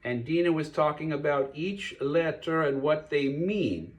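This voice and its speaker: A man's voice: on the high side, expressive, unhurried. A man presenting a children's puppet show, speaking directly to a child and explaining things simply. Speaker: puppet show performer and host.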